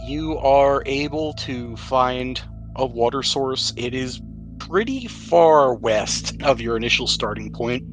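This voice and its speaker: A male game master with a mid-pitched voice runs a tabletop adventure podcast. He explains rules and scenes in an easygoing, conversational way, with music playing softly behind him. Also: animated, slow pace, poor audio. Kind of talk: narrating a tabletop roleplaying game to players. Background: background music.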